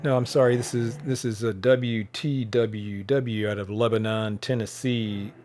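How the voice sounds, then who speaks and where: A man giving a casual, unscripted commentary as a radio hobbyist, thinking aloud in a halting way. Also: fairly low pitch, steady tone, darkish tone, soft background music. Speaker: shortwave radio hobbyist presenting a bandscan.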